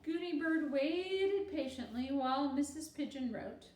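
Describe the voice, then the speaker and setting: A woman reading a children's storybook aloud as expressive narration. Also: sits high, unhurried. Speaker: read-aloud reader. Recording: roomy, distant, noisy home-style recording.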